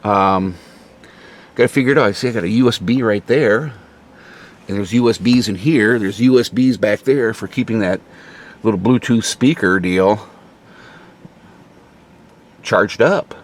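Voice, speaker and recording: A man in a casual, hesitant show-and-tell, thinking out loud like a DIY vlogger in an informal, rambling way. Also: on the low side, measured pace, pauses often. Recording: clearly noisy, radio chatter at times.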